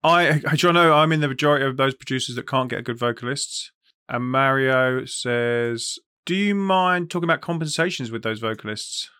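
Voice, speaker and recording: A man's voice: mid-range voice, normal speed, sharp tone, clean tone. A man hosting a casual livestream interview, speaking informally with plenty of slang. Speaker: interview host.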